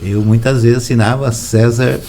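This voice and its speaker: A man, a relaxed, soft-spoken storyteller reminiscing in a casual interview. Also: medium pitch, moderate pace, a little hiss or hum. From São Paulo, Brazil. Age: 60s. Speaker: interview guest, former footballer.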